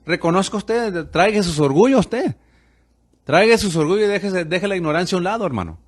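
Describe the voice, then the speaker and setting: A man's voice: sits high, expressive, brisk, husky. A middle-aged man from the United States, a pastor hosting a radio show and talking in an insistent, preachy way that keeps calling the listener "brother". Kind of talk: opinionated radio monologue.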